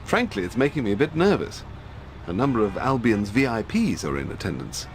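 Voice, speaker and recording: Dapper British secret agent, plummy upper-class English, jittery, very animated, faint noise behind, video game. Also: male voice, fairly low pitch, fairly quick. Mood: nervous. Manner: plummy, fussy, formal politeness. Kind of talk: video game cutscene acting.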